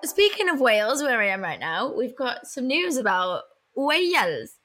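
A woman's voice: fairly high pitch, very animated, unhurried, fairly bright tone, soft background music. A young British woman chatting playfully as co-host, reading light news and giggling over hard place names. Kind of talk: casual chat and news reading.

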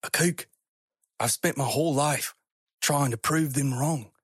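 A man voicing a frightened, obsessive creature hunter in a story, pleading and halting. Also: medium pitch, breathy edge, dry recording, studio quality, voice pushed up. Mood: earnest, wounded. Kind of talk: amateur voice acting of a story.

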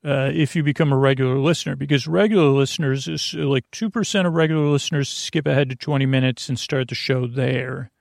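A man hosting a bedtime podcast in a gravelly voice, rambling and halting as he wanders off into tangents. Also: mid-range voice, steady tone, no echo, clean studio sound. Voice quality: gravelly, creaky. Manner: meandering, trails off into tangents; halting, stumbling delivery. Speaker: podcast host.

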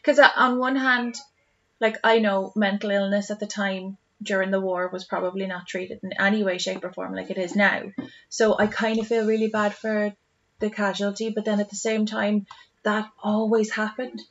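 Irish female co-host, mid-pitched, casual and emotive, slightly muffled sound. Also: flat delivery, normal speed. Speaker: podcast co-host. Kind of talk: casual chat.

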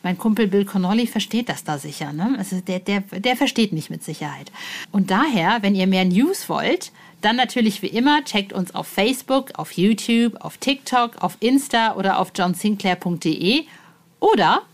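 A woman hosts a podcast in an informal, chatty way. She is playful and effusive, with colloquial phrasing and a mid-pitched voice. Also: expressive, moderate pace. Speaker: podcast host.

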